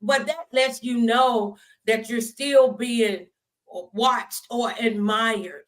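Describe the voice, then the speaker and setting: A woman's voice: a little high, fairly even intonation, takes it slowly. A woman hosting an upbeat talk show interview, speaking in an effusive, encouraging and colloquial way.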